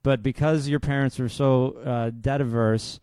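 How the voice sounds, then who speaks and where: A man's voice: mid-range voice, steady tone, measured pace, dark tone, clean tone, dry recording, clean studio sound. Podcast host man, scripted intro. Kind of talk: scripted intro, then casual interview chat.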